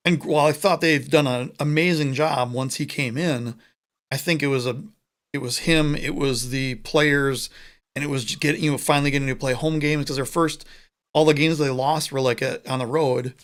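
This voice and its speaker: A man from the United States co-hosting a casual sports podcast over a remote call, chatty and joking, with quick asides. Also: medium pitch, steady tone. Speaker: podcast co-host.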